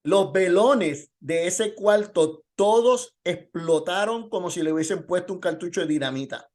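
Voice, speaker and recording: High-pitched male pastor teaching. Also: measured pace, darkish tone. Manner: rhetorical questioning. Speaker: pastor teaching a Bible lesson. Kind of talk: informal sermon and Bible teaching with testimony.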